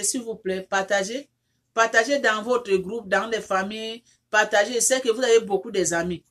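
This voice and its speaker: A woman who is not a native French speaker, leading an informal prayer and appeal for her viewers, repetitive and fervent, with many restarts, who rises into insistent pleading as she prays. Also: a little high. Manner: rises into loud insistent pleading when praying. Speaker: online counselor leading prayer.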